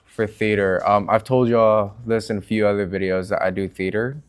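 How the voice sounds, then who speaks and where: Informal low-voiced man hosting a chat. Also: little pitch movement, normal speed, smooth. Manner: informal, hesitant, searching for words. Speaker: channel host. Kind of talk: casual chat.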